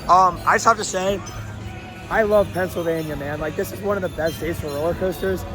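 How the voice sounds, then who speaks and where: A young man with a hoarse, worn-out voice talks to his camera outdoors at an amusement park. His voice sits high and comes out eager and chatty, full of ums and likes. Music plays clearly in the background. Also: a little fast, rough recording. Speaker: vlogger host. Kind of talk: casual vlog walkthrough.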